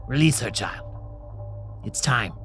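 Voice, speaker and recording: Solemn older herald, widely varied pitch. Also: male voice, animated, slow, a bit bright, faint music behind. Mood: solemn, tender. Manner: solemn, commanding, formal. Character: older male herald of a dark god, stern yet merciful. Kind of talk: fantasy audio drama acting.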